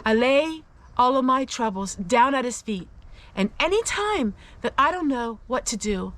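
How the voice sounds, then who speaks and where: Female faith vlogger, raised pitch, very measured pace, earnest and emphatic personal encouragement. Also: expressive, a bit bright. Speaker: host of a faith-based personal vlog.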